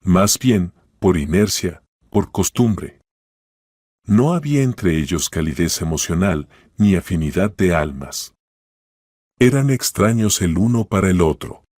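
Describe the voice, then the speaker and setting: A man's voice: low-pitched, animated, measured pace, a little mellow, dry recording, clean studio sound. A man reading a scripted story as a narrator.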